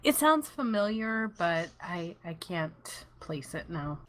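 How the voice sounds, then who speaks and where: A woman's voice: fairly low pitch, very animated, slow, low-quality recording. A woman chatting casually as a game panelist, tentative and hesitant in her answers, with keyboard clicks. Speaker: panelist guessing in a trivia game. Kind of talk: casual chat.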